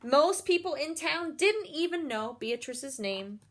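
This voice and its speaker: A woman's voice: high-pitched, a little slow. A woman reading a picture book aloud to children, expressively, with little asides and questions to the young listeners. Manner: expressive, explains feelings and puts questions to children.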